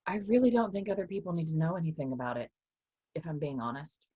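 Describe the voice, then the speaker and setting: Woman doing a weary guarded lady professor in a play, deep husky voice, measured, muffled lofi audio. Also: expressive, dry recording. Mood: guarded, troubled. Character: guarded female professor, haunted, reluctant, weary. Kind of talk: staged play reading. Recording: dull, low-fidelity sound.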